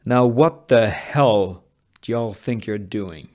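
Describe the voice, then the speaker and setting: A man's voice: fairly low pitch, takes it slowly, a little mellow. A man playing a violent, menacing Wild West sheriff who barks his lines aggressively. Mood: menacing, angry. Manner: barking, aggressive.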